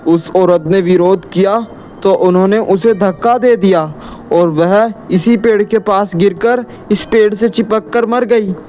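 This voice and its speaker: A man's voice: sits high, a little slow, warm tone, a little hiss or hum, voice pushed up. A man voicing a timid, anxious village boy in a horror cartoon story, fearful and pleading. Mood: grave, scared.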